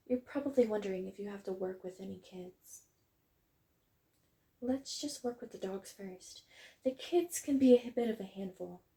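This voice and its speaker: A woman acts out a wholesome tomboy dog girl in an audio roleplay. The voice sits fairly high, yet it is very mellow and soft-edged, with a slight creak. The delivery is gentle, chatty and reassuring, like a kindly kennel worker. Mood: friendly, explaining. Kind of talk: roleplay audio, acted one-sided conversation.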